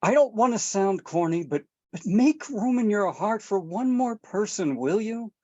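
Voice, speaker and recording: A woman's voice: medium pitch, expressive, unhurried, dark tone, no room sound. A woman reading the part of a troubled father torn between his family members, pleading and apologetic, at a script table read. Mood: strained. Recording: dull, dry lo-fi sound.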